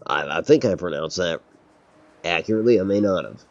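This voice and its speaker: A man's voice: low voice, lively intonation, fairly quick, dull audio. A man streaming a game, chatting casually, rambling and laughing often. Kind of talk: casual gameplay chat.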